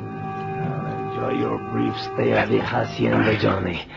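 An actor playing a smug, courteously cruel Mexican crime boss with a Mexican accent and formal, mocking phrasing in a vintage radio drama. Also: male voice, on the low side, wildly swooping pitch, slow pace, gravelly, over loud music, full character voice. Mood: smug, amused. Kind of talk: 1970s radio drama acting. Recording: muffled, hissy old radio recording.

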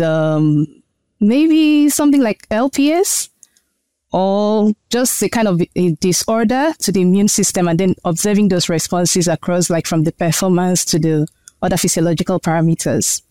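Earnest woman researcher, smooth voice. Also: lowish voice, moderate pace, dark tone, dry recording. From Nigeria. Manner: earnest, explanatory, thoughtful. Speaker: guest researcher. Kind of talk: interview answers.

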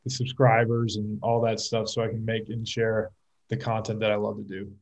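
A young man talking casually as an interview guest, relaxed and rambling, with plenty of fillers and restarts. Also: lowish voice, normal speed. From Minnesota. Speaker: guest, pro football player.

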